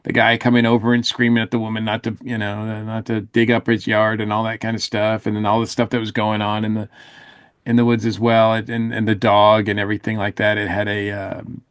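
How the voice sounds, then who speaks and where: Male co-host, fairly low voice, informal and slightly halting review talk, dry recording. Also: monotone, fairly bright tone, smooth, dry recording. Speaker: podcast co-host. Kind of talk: casual review discussion.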